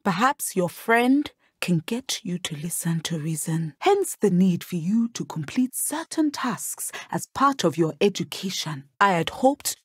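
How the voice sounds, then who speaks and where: A wise, dignified professor of divination in a fantasy video game, speaks. Her voice is husky and lively in pitch, recorded very dry. She phrases things formally and with care, like a protective middle-aged teacher. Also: no echo, full character voice. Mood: stern concern. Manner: measured, formal, enunciated phrasing. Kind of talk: scripted game dialogue.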